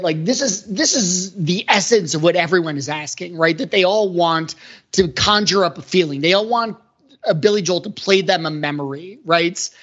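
A man's voice: high voice, fairly bright tone, breathy edge. A male podcast panelist talks through ideas in an earnest, explanatory way. His delivery is conversational and analytical. The audio is somewhat muffled and lo-fi. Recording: dull, lo-fi audio.

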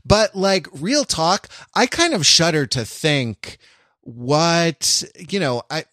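Guy cohosting a podcast, kinda high voice, dry close sound, jokey and rambly. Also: lively intonation, takes it slowly, sharp tone, dry recording. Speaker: podcast co-host. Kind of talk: casual chat. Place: remote recording on a video call.

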